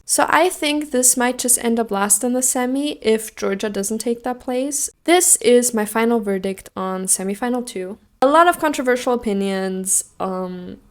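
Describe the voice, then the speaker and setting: A young woman from Austria giving casual, opinionated commentary as a video host, emphatic and jokey, swearing freely. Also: on the high side. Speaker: video host giving song contest predictions.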